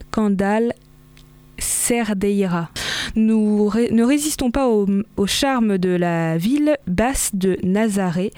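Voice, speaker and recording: A woman hosting a community radio show, presenting upcoming events in a relaxed way with frequent hesitations. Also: mid-range voice, no echo. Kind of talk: reading event listings on local radio.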